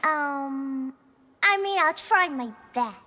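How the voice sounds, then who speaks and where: A woman voicing an excitable, chirpy childlike girl in a video game cutscene. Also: high-pitched, slow pace, heavily acted. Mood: eager, excited. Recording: prominent game music behind.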